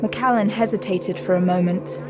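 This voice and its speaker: A woman's voice: medium pitch, fairly even intonation, moderate pace. A woman narrator reading scripted, dramatic third-person narration for an audio drama. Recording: prominent music bed under narration.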